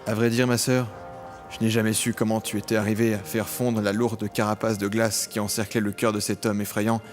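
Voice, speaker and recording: Un acteur joue un jeune homme tourmenté, ancien orphelin des rues, dans une fiction audio au ton solennel et littéraire. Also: male voice, fairly low pitch, flat delivery, a little fast, faint music behind, lightly acted. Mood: wistful, solemn. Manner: solemn, literary, nostalgic. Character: brooding young man, former street orphan, recalling childhood with emotion. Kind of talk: dramatic first-person audio drama narration.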